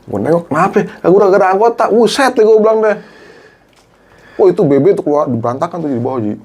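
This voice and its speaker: Animated man telling a story. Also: high voice, fast. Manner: animated, acts out quoted dialogue, Jakarta slang. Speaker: guest, former TV cameraman. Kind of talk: casual storytelling chat.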